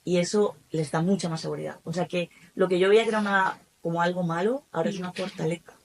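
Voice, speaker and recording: A woman from Spain with a Spanish accent, a guest in a casual interview, telling her story in a lively way with many asides. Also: on the low side, fast, low-quality recording. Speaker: guest, German teacher and academy founder.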